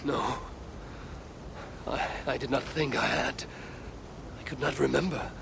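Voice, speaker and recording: An actor playing a proud, wrathful elder god-king in a video game, gravelly and grandly archaic in his speech. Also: mid-range voice, steady tone, a little slow, noisy background. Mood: brooding. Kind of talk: dramatic video game acting.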